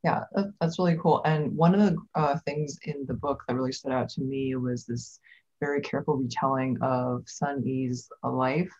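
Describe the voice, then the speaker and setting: Woman interviewer chatting with an author, husky voice, kinda muffled lo-fi sound. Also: very deep voice, steady tone, normal speed, darkish tone. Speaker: interviewer, moderator. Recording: dull, lo-fi audio.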